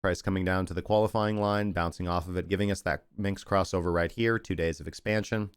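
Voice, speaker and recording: A man's voice: deep voice, fairly even intonation, fairly quick, clear, resonant tone, no room sound, clean studio sound. A man hosting a crypto livestream, chatty and rambling as he talks through charts and thanks viewers, losing his voice. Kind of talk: casual market analysis and sign-off.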